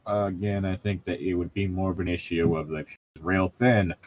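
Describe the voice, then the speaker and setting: A man's voice: low-pitched, steady tone, moderate pace, darkish tone, no room sound. A man chatting casually as a co-host, explaining things in a rambling, nitpicky way. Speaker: podcast co-host reading and riffing on a novel. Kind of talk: casual banter and commentary.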